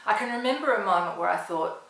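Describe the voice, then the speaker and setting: A woman's voice: medium pitch, expressive, fast talker, gravelly, a bit bright, very echoey, rough recording. A woman from Sydney, earnestly recounting a frightening personal experience. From Sydney, Australia. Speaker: storyteller. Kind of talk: personal story told to camera.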